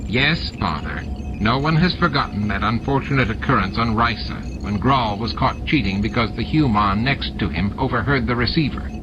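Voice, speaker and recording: A man's voice: medium pitch, normal speed, natural voice. Male narrator as a fawning alien henchman, cowardly, placating, eager to please, audiobook character, dull recording, music underneath. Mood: nervous, placating. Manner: obsequious, placating.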